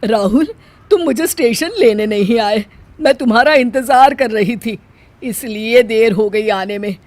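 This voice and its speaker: Woman acting as village mother-in-law, raised pitch, plaintive, feisty, grumbling, expressive, family story. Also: unhurried, dry recording, natural voice. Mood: reproachful. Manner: complaining, expressive.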